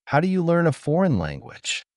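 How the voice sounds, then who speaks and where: A man acting as examiner, reading out speaking-test questions and channel notes in a formal, measured way. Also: on the high side, huge pitch swings, a little mellow, no room sound, clean studio sound. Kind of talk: scripted exam questions.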